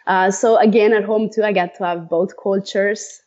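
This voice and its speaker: A woman in her thirties from Ethiopia talks casually as a guest. She fills her talk with pauses and frequent laughs, at a middling pitch. Her voice comes through slightly dull and lo-fi. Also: fairly even intonation, crowd noise. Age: 30s. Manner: laughs often, many fillers. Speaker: guest, runner.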